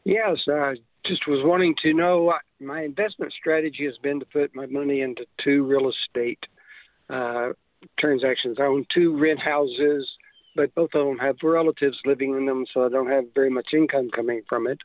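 A man's voice: medium pitch, steady tone, normal speed, dry recording. An older man calling in to a show, asking his question casually and a little hesitantly. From Texas, United States. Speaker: caller. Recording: dull narrow phone line.

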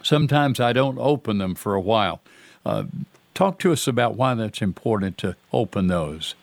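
A man's voice: fairly low pitch, sing-song, moderate pace, creaky, no echo. Earnest older man hosting talk radio. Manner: earnest, conversational, folksy. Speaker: radio show host.

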